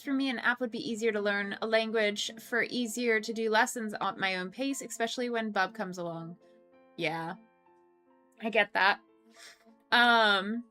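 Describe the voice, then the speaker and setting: A young woman streamer chats at her desk mic and reads out viewer comments. Her voice sits a bit high and sounds bright and clear. She laughs easily and fills gaps with ums and restarts, with faint music playing behind her. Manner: chatty, laughs often, many ums and restarts. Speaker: streamer hosting a coworking stream. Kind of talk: casual chat reading viewer comments.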